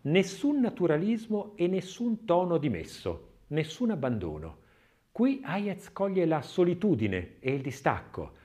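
A man reading a scripted literary audiobook essay as narrator, in a measured, expressive way. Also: medium pitch.

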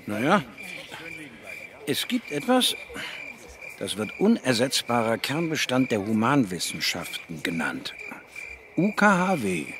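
An actor playing a weary male biologist narrating a science fiction radio play in a measured, matter-of-fact way. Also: medium pitch, fairly bright tone, a little hiss or hum. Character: male biologist Ian, weary first-person narrator of alien expedition.

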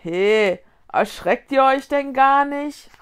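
A woman's voice: fairly high pitch, animated, moderate pace, darkish tone, natural voice. A woman voicing a cheeky, easily spooked male ghost who grumbles and blusters in a children's storybook. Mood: whiny, scolding.

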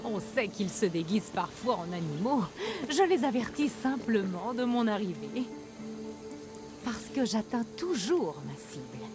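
Tender young huntress, over music. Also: female voice, mid-range voice, sing-song, a little slow. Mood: confident, friendly. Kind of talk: dramatic game dialogue. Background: background music.

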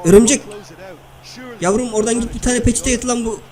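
Man doing a very high-pitched whiny footballer. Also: quick pace, dark tone. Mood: annoyed, complaining. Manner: complaining, whiny. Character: famous male footballer, whiny irritated gamer. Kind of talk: comic video-game banter.

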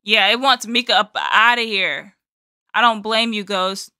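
An American woman in her twenties chatting casually as a reaction host, playful, animated and slangy, close on the microphone. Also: mid-range voice, measured pace, no room sound. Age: 20s. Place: small bedroom studio, indoors. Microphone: close boom-arm microphone.

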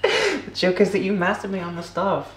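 A soft-spoken British woman with dry humor, chatting as co-host while cooking at home. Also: mid-range voice, big pitch swings, normal speed. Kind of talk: casual chat while cooking. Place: home kitchen. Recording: echoey room with background noise.

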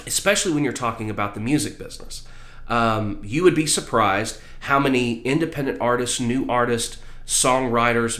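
A man's voice: mid-range voice, a bit bright. Man vlogging in a roomy space. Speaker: vlog host, music business mentor. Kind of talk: motivational advice vlog to camera. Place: small room at home with posters, indoors.

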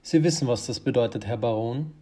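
A man's voice: mid-range voice, fairly quick, warm tone, lightly acted. An actor playing a brusque, vehement male political strategist in an audio drama, speaking formally and emphatically. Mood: grave, urgent.

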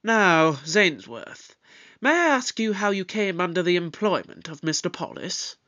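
Brisk, authoritative space detective in an audio drama. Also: mid-range voice, sing-song, sharp tone, dull audio. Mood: brisk, probing.

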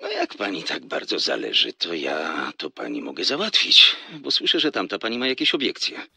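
A polite, nosy gentleman in a radio comedy, formal and eager to oblige. The voice is low and husky, with lively swings in pitch. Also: moderate pace, no echo, full character voice. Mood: curious, obliging. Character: courteous, nosy gentleman eavesdropper, smoothly obliging. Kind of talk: comic radio drama acting.

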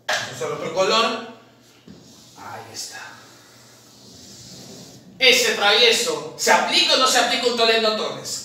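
A man, teaching logic at a chalkboard, firing questions at students and answering them himself. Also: sits high. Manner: lively, asks questions and answers them himself, calls the viewer "papi". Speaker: math tutor at an admissions academy. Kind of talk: chalkboard lesson solving exam problems. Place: classroom at a chalkboard, indoors. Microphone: far camera. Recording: very echoey classroom from far off, faint noise.

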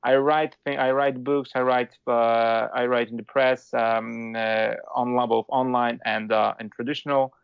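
A man from Poland with a Polish accent, a philosopher guest talking casually, with a halting manner full of restarts and fillers. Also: mid-range voice, little pitch movement, gravelly, smooth, no echo, muffled recording. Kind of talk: casual interview talk.

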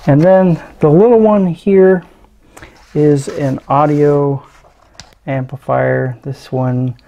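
A middle-aged man giving casual, unscripted hands-on commentary in a halting, hesitant way as he presents a teardown. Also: a little high, steady tone, gravelly, dark tone, highs cut off. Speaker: electronics hobbyist presenter. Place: indoors at a tabletop.

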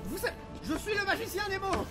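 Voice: very high voice, sing-song, fast talker, over loud music. An actor playing a pompous, indignant, vengeful male novelist in an audio drama. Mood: enraged. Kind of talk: French audio drama acting.

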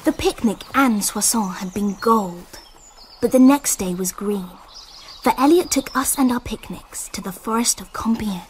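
Actress as wistful English girl narrating radio drama; fairly high, lyrical, hushed, over faint background noise. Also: measured pace, natural voice. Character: wistful English girl recalling a summer in France.

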